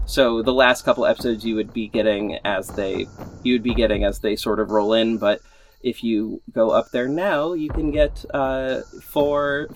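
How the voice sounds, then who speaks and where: A man's voice: medium pitch, slight background noise, rough recording. A man hosting a podcast in an informal, rambling way, repeating words for emphasis as he gives a casual update. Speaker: podcast host and audio drama creator. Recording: music playing behind the voice. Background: background music.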